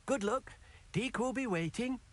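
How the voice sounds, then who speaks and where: A man playing a gentle, earnest house-elf servant in a video game, polite and speaking of himself in the third person. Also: very high-pitched, a little slow. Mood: friendly, encouraging. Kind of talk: video game character dialogue.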